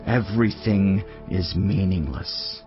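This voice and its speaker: A male actor playing a solemn, reflective teacher of ancient wisdom in a dramatised scripture reading, speaking in a measured, declamatory way. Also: deep voice. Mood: grave. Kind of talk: dramatised audio Bible reading. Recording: dull audio under clear music. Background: background music.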